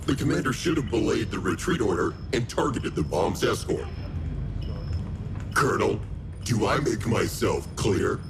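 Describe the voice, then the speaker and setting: An actor playing a stern, indignant military director in a video game cutscene. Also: male voice, on the low side, big pitch swings, normal speed, gravelly, background noise. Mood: indignant, demanding. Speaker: director in command. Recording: loud music behind.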